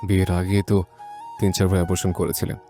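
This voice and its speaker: Audio story role: contemptuous, threatening young man played by a male actor, low mellow voice, faint music behind. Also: monotone, quick pace, lightly acted. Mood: scornful. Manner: scornful, commanding.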